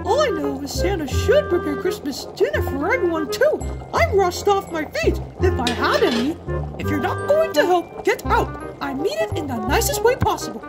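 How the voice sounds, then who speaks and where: A man as a very high-pitched, fretful mother bird. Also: huge pitch swings, normal speed, exaggerated voice. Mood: flustered, apologetic. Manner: apologetic yet curt, fretful. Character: harried, fussy mother bird cooking Christmas dinner. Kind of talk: comic dub character voice. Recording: loud music bed over voice. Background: loud music.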